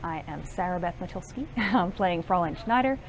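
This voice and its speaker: Creaky, lowish-voiced American woman. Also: lively intonation, moderate pace, a little hiss or hum. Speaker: musical theatre actor. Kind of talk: cast interview.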